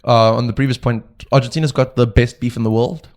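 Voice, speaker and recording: A young man from South Africa, a podcast guest, talking in a relaxed, reflective way with frequent fillers. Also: medium pitch, fast talker. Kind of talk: casual podcast conversation.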